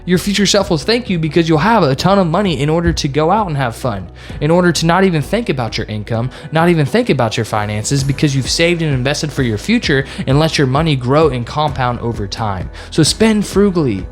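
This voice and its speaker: Young male vlogger, slightly high voice, earnest lecturing tone, soft music bed. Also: expressive, brisk. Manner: earnest and repetitive, lecturing viewers directly. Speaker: finance vlogger. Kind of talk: casual advice vlog talking to camera.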